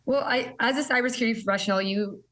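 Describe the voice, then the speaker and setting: A woman's voice: on the high side, racing, fairly bright tone. A woman speaking as an expert guest in a conversational news interview. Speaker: cybersecurity expert and content creator.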